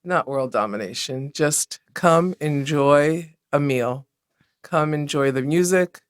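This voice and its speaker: A woman's voice: sits low, slow pace, no echo, studio quality. A woman appearing as a podcast guest for a restaurant, talking in a friendly, upbeat, promotional way. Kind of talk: casual interview chat.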